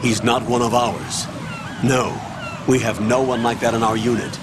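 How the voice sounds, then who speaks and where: Casting: male actor as older military commander, middle pitch, stern, guarded, firm orders, game dialogue over noticeable noise. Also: level tone, moderate pace, crowd noise, natural voice. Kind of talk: video game radio briefing acting.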